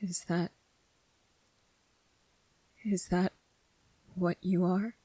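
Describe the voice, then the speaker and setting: A woman voicing a gentle, earnest young male soldier in a soft-spoken, halting ASMR roleplay. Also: on the low side, flat delivery, slightly rough, no echo, exaggerated voice, low-quality recording. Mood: nervous, hushed. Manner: hesitant, halting, repeating phrases, earnest.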